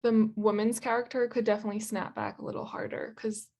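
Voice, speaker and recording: A woman's voice: a little high, little pitch movement, fast, creaky, darkish tone. A woman chatting casually over a video call as a volunteer reader giving feedback. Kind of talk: casual chat.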